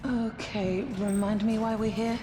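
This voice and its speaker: Unhurried, wry female space pilot played by a woman. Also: medium pitch, level tone, noisy background, lightly acted. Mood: wry, doubtful. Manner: informal, wry, teasing. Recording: music clearly behind.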